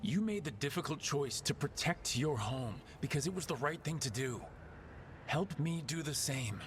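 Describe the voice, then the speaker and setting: A man's voice: on the high side, lively intonation, moderate pace, a little hiss or hum, natural voice. A man playing a determined, heroic protector in a video game, speaking directly and insistently as he pleads a case. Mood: earnest, persuasive. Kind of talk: dramatic video game acting.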